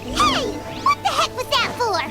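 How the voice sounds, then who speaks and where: A woman voicing a small, childlike, excitable fairy companion in a video game, squeaky and exclamatory. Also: a little slow, over loud music, full character voice. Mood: startled. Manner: squeaky, exclamatory, refers to self in third person. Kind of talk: video game character dialogue.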